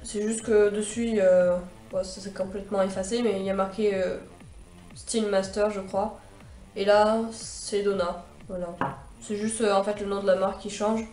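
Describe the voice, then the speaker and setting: A woman's voice: mid-range voice, flat delivery, normal speed, darkish tone, roomy sound, faint music behind. A young woman with a cold and a stuffy nose, chatting her way through a makeup tutorial with many fillers and restarts. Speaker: beauty vlogger.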